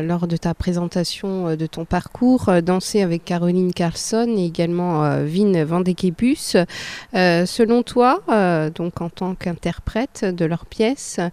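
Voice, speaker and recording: A woman's voice: on the low side, dark tone. A woman hosting a radio interview, reading an announcement and then asking questions with frequent fillers. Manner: reads intro smoothly, then asks with fillers. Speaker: radio host.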